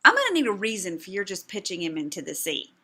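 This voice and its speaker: A woman's voice: mid-range voice, huge pitch swings, fast talker, sharp tone, slightly rough, full character voice. A woman voicing a skeptical, irreverent woman who delivers dry, mocking commentary. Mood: sarcastic.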